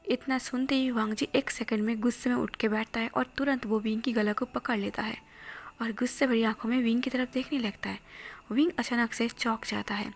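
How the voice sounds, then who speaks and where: A woman narrating a romance story in a soft, steady whisper. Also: a little high, a little fast.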